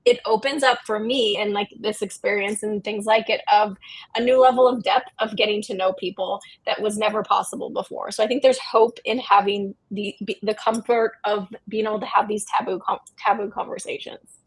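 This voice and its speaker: A woman's voice: mid-range voice, steady tone, moderate pace, husky, rough recording. A woman giving casual, candid interview answers in very informal, conversational speech. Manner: informal, peppers talk with "like", candid. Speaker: company co-founder, interview guest.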